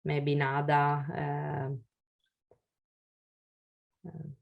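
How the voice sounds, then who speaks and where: A woman's voice: low voice, completely flat delivery, extremely slow, vocal fry, highs cut off. A woman giving a webinar workshop for founders and answering questions, hesitant and full of fillers and restarts, speaking over a video call. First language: Italian. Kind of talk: webinar presentation and Q&A.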